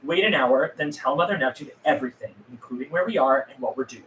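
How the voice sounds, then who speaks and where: A man's voice: mid-range voice, fast, fairly bright tone, natural voice. A man playing a defiant, world-weary and blunt rebel leader at a script table read. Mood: urgent, determined. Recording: echoey room with background noise.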